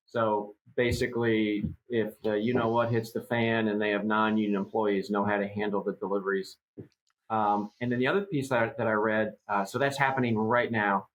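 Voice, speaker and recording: A man's voice: fairly low pitch, flat delivery, normal speed, a bit bright, low-quality recording. A man in his fifties co-hosting a trade podcast, talking through news in a rambling, conversational way. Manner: rambling, conversational, many fillers. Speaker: co-host. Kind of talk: casual podcast chat.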